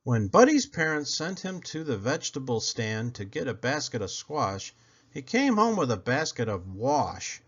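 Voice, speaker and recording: A man narrating a children's book aloud as an expressive storyteller. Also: mid-range voice, normal speed, husky, muffled recording. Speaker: storyteller, read-aloud host.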